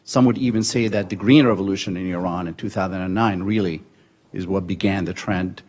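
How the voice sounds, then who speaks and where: Measured Syrian-born man on a panel. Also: low voice, fairly even intonation, darkish tone. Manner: measured, pausing often with fillers and restarts. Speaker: conference panelist. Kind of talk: policy panel talk.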